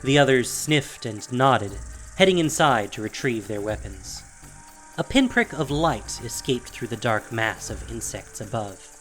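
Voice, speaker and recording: A man's voice: medium pitch, animated, a little slow, a bit bright, poor audio. Male narrator of dramatic fantasy. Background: faint music.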